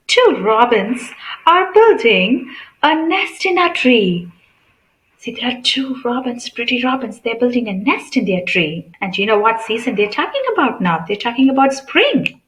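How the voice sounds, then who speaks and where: A woman's voice: on the high side, fairly bright tone, echoey room, rough recording. A woman reading a picture book aloud to children in a teacherly way, asking questions and explaining simply. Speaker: read-aloud channel host.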